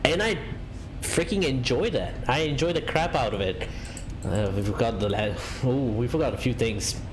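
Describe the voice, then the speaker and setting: Man, loose live-stream chatter, full of fillers, average pitch. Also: lively intonation, normal speed, background noise. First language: Italian. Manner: rambling, many fillers and restarts, reads out chat. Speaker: flight simulator streamer. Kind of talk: casual live-stream chat.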